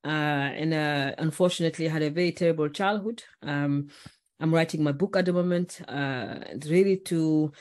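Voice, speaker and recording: A woman's voice: deep voice, moderate pace, darkish tone. A woman from Senegal, a podcast guest telling her story earnestly, with many "uh"s and "you know"s. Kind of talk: podcast interview.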